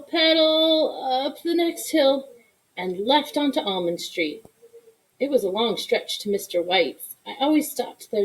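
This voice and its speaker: Woman doing a kids read-aloud, fairly high voice, expressive, leans on the words. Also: rough recording. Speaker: storybook reader.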